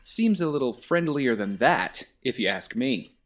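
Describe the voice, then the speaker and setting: A man's voice: mid-range voice, very animated, unhurried. Glib young rich guy, played by a man, swooping intonation. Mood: teasing. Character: teasing young billionaire brother, glib and secretive. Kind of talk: audio drama acting.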